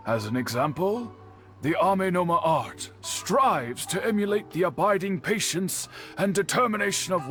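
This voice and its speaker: An actor playing an older, patient master swordsmith in a game, speaking in a measured, reflective and dignified way. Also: male voice, a little high, a bit bright, exaggerated voice. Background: game music.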